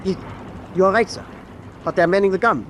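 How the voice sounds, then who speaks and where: An actor playing a deferential German first officer in a wartime radio drama, reporting formally and respectfully. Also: male voice, high voice, brisk, a bit bright, slight background noise. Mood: alarmed.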